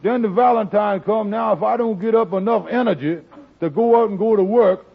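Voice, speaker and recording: A lazy schemer of a husband in an old radio sitcom. His voice sits high and sounds rough and husky. He blusters in folksy, ungrammatical talk, through a narrow vintage broadcast sound. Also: brisk, heavily acted. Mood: indignant. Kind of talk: 1940s radio sitcom acting.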